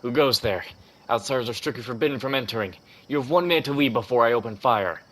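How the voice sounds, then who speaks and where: A man's voice: medium pitch, fast talker, fairly bright tone, lightly acted. A man voicing a stern, suspicious game guard who speaks formally and with command. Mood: stern warning.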